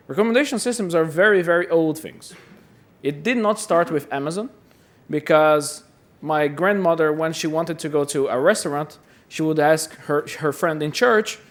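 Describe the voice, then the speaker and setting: A man from Brazil giving a chatty, self-deprecating conference talk, often repeating words as he goes. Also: fairly high pitch. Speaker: conference speaker. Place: conference hall.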